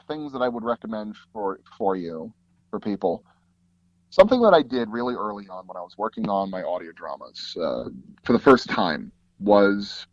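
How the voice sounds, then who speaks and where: A man telling anecdotes casually as an interview guest, animated and acting out bits of dialogue as he goes. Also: lowish voice, no echo, muffled recording. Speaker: guest, voice actor and audio drama producer.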